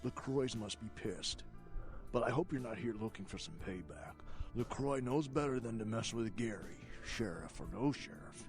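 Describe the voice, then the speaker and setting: An actor playing a smug, distracted vampire computer hacker in a video game, sarcastic and mumbling to himself. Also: male voice, medium pitch, animated, normal speed, over music, lightly acted. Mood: casual, wary.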